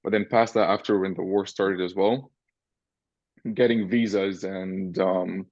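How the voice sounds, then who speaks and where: Young guy from syria, deepish voice, keeps saying right?, kinda muffled lo-fi mic. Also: steady tone, unhurried, a little mellow, no echo. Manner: explains step by step, often tags "right?". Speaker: podcast guest, entrepreneur. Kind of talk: casual interview chat.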